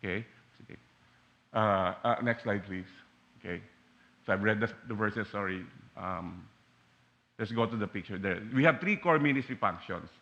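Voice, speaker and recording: A man in his forties from the Philippines with a Filipino accent, preaching a sermon as a guest pastor in a church, animated and joking with frequent fillers and restarts. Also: on the low side, moderate pace, long pauses, muffled recording. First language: Taglish. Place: church sanctuary.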